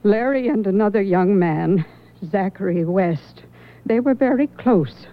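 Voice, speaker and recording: A woman playing a prim, guarded older mother in a radio drama, speaking haltingly as if confiding. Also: mid-range voice, animated. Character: older widowly mother, guarded, disapproving of her son. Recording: thin phone-like old radio recording.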